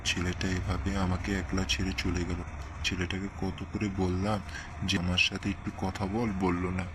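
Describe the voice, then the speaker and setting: A man acts out a sly old rascal of an uncle in a comic cartoon. His voice is very deep and stays flat as he grumbles and wheedles. Noticeable noise sits in the recording. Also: normal speed, natural voice. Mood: self-pitying, innocent. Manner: wheedling, self-justifying, grumbling. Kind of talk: comedy cartoon dialogue. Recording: clearly noisy background.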